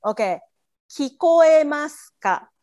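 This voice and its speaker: A woman's voice: sits high, lively intonation, a little slow, a little mellow, highs cut off. A native Japanese woman with a Japanese accent, tutoring casually phrase by phrase in brief turns with small acknowledgements. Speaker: language tutor.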